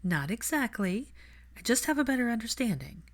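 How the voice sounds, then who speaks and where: A woman's voice: on the high side, sing-song, moderate pace, voice pushed up. A woman narrator voicing a principled, stubborn young witch who speaks in a pointed, questioning way in a fan fiction reading. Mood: exasperated, pointed.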